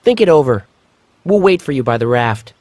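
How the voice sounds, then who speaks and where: A man's voice: medium pitch, sing-song, moderate pace, lightly acted. A man voicing a meek, gloomy young boy in a children's cartoon, hesitant and plaintive. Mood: earnest.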